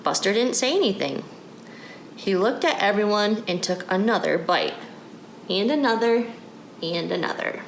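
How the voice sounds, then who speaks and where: A woman's voice: mid-range voice, expressive, moderate pace, long pauses, roomy sound, low-quality recording. A woman reading a children's storybook aloud as a narrator. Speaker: story reader. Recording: clear background noise.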